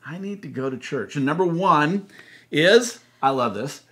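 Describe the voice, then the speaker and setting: A man's voice: a little high, animated, measured pace, bright, forceful tone. A man in his sixties with an American accent, explaining things conversationally and emphatically to a co-host and camera, often repeating phrases for stress. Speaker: church consultant and co-host. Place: indoor room, seated beside co-host.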